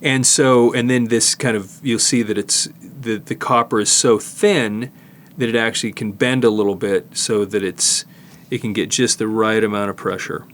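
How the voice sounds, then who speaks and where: An older man giving a casual hands-on demonstration, explaining haltingly and thinking aloud as he works. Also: fairly low pitch, steady tone, slight background noise. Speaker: host, tape digitizing technician. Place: studio, indoors.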